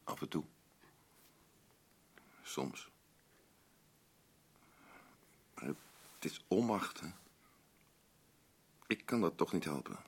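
An actor playing a gruff, defensive working-class father in grief, speaking blunt, halting, colloquial lines in a naturalistic radio drama. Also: a bass voice, lively intonation, exaggerated voice. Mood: resigned, evasive. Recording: clearly noisy radio play recording with ambience.

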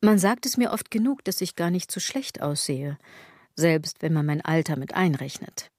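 Sardonic woman, low-pitched radio drama monologue. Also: lively intonation, normal speed, darkish tone, no echo, clean studio sound. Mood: wry, self-pitying. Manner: ironic, declamatory, wordplay-laden delivery. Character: sardonic aging woman musing on fashion and consumerism.

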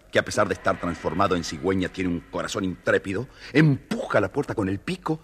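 A man narrating a dramatised children's story, expressively addressing his young listeners. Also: deep voice.

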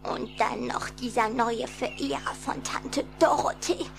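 A high-pitched little vampire girl, curious and excitable. She speaks expressively in a children's radio play, with faint music around her. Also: measured pace. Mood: exasperated. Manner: expressive, giggly, dramatic sighing. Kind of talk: German children's audio drama acting.

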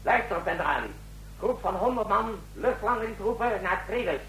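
An actor playing a brisk, wry, commanding president in a radio drama, giving curt orders in a husky voice. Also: male voice, sits high, expressive, fairly bright tone, slight background noise, poor audio. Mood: urgent, insistent. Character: commanding, brisk, wry president rousing his generals at night.

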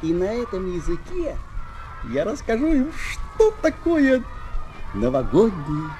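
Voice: medium pitch, wildly swooping pitch, slow, warm tone. A performer playing a small, eager, reassuring round bun who is a ship's captain, in a children's audio play. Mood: mysterious, proud.

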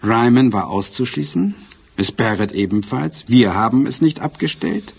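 An actor playing a shrewd, self-assured police inspector in a crime radio drama, explaining in a measured, dryly ironic way. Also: male voice, mid-range voice, lively intonation, gravelly, darkish tone, slightly rough.

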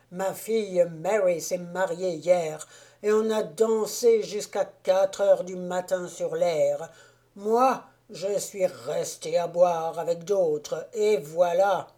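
A woman voicing a hungover, grumbling middle-aged road mender who complains loudly and drags out his words. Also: lowish voice. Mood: groaning. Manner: loud, drawn-out complaining. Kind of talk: audiobook character voice.

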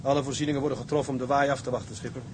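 An actor playing a steady, dutiful ship's first mate in a Dutch radio drama, respectful, businesslike and plain-spoken. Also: male voice, racing, natural voice. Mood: composed. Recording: noisy, engine rumble behind.

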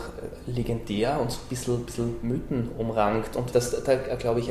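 A man's voice: mid-range voice, mellow. A man talking casually and tentatively in an interview, in an informal way. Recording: roomy with clear background noise.

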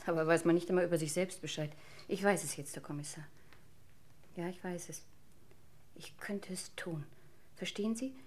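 A woman playing a proud, suspicious wife in a radio crime drama, formal, intense and dramatically deliberate. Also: lowish voice, natural voice. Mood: stunned, hushed.

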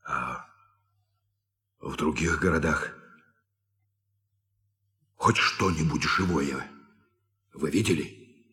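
A man's voice: rumbling low, extremely slow, pauses often, bright, forceful tone, heavily acted. A man acting a stern, authoritative spaceship captain in a theatrical audio drama. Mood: grave, stunned. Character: commanding male spaceship captain, stern, questioning. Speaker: actor in audio play.

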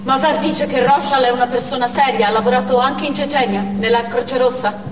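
Actress as hard, watchful young female militant, high voice, stage drama delivery, distant and very echoey. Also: fairly even intonation, fairly quick, natural voice. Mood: wary, tense. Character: wary, hard young Chechen woman militant. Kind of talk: dramatic stage monologue in present tense. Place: on stage in a theatre. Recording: echoey theatre hall from far off, noisy.

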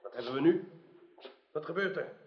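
A man's voice: on the high side, animated, normal speed, roomy sound, a little hiss or hum, natural voice. An actor playing a debauched, bullying and cowardly usurper king in a radio drama, barking out commands theatrically. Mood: drunk, commanding. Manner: imperious, shouting commands. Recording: dull radio play recording, music behind.

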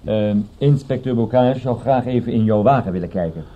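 An actor playing a wary, exasperated police inspector in a radio drama, official and chiding, on a dull lo-fi recording. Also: male voice, mid-range voice, fairly quick, close to an everyday voice. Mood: businesslike. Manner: matter-of-fact, official, chiding.

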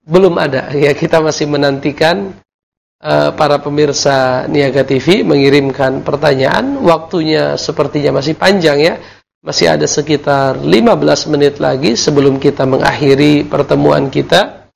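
A man teaching Islamic law on a call-in television program, explaining calmly with Arabic terms and frequent fillers. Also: fairly high pitch, moderate pace, gravelly, slight background noise. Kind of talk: televised religious lesson with call-in questions.

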